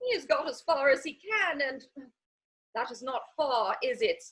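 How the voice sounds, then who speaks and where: Gushing Victorian society lady for stage comedy; chatty, very bright, animated. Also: high-pitched, unhurried, full character voice. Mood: gossipy, airy. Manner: gushing, rambling, theatrical. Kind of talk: stage comedy dramatic reading.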